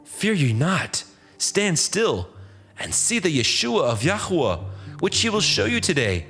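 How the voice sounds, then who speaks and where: A resolute prophet leader , acted out by a male narrator, in a scripture drama. The voice is pitched up, emphatic and exhorting, rallying the people. Faint music sits behind it. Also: very animated, measured pace, a bit bright, exaggerated voice. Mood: rousing, assured.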